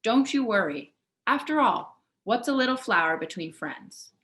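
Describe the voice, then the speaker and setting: A woman's voice: a little high, expressive, unhurried, a bit bright. A woman voicing a kindly, patient older woman neighbour from a children's storybook, with homely, reassuring manner. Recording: echoey room.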